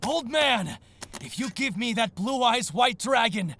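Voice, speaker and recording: Man playing a slow-talking, arrogant teenage rival. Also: very high-pitched, animated, background noise, full character voice. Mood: astonished, greedy. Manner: condescending, drawling. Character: arrogant, scornful rich teenage boy card duelist. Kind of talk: audio manga dramatization.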